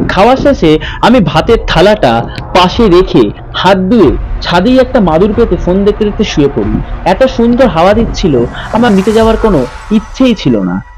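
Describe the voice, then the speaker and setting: A man's voice: high-pitched, darkish tone, poor audio. A man narrating in a dramatic, suspenseful ghost-story style as a channel host. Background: background music.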